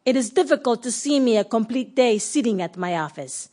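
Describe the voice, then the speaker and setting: Higher-voiced, earnest woman official. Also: lively intonation, brisk, a little mellow. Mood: brisk, matter-of-fact. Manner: earnest, declarative. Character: committed, proud Argentine woman anti-corruption official. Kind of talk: documentary stage play monologue.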